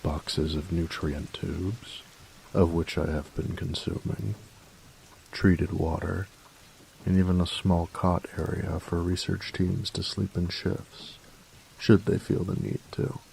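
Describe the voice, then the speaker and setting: A man playing a grumpy, workaholic artificer in an audio roleplay, tired and reserved, speaking formally and precisely with hesitant pauses in a gravelly voice. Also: level tone, darkish tone, a little hiss or hum, heavily acted, low-quality recording. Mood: stiff, formal. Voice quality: gravelly, very low voice. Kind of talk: audio roleplay addressed to the listener.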